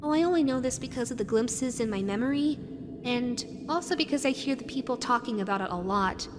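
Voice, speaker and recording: Woman voicing a high-pitched, sweetly creepy animatronic fox. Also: lively intonation, fairly quick, noisy background, lightly acted. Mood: wistful, eerie. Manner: dreamy, lingering, repeats words softly. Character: lonely, unhinged, possessive animatronic fox, childlike and sweetly sinister. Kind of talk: scripted audio roleplay addressed to the listener. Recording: long hall-like reverb, music underneath. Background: background music.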